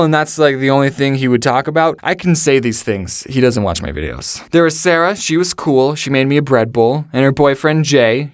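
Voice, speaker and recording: A man's voice: mid-range voice, clean tone, dry recording, clean studio sound. A young adult man with an American accent telling a casual comedic story to his viewers, chatty and self-deprecating with sarcastic asides. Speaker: storyteller and video host.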